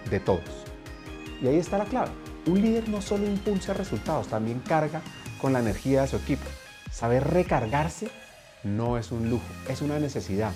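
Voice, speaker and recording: Host: man, mid-range voice, measured emphatic scripted narration over faint music. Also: medium pitch. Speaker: podcast host. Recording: soft music underneath.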